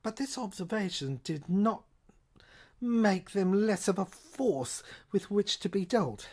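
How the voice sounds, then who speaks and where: A woman performing dramatic first-person narration for a science fiction audio play, intense and halting, repeating phrases and at times dropping toward a whisper. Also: mid-range voice, expressive, a little mellow, husky.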